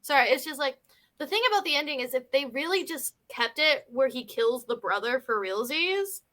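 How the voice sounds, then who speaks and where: High, bright-voiced woman chatting informally. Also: expressive, normal speed. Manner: filler-heavy, youthful slang. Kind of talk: casual film chat.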